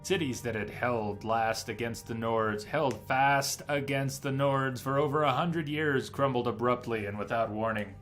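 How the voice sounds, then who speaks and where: A man's voice: mid-range voice, a little slow, few pauses, fairly bright tone, faint music behind. A man who streams games, talking through a playthrough and reading lore aloud to his viewers. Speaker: game streamer. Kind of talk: live game commentary and reading in-game lore aloud.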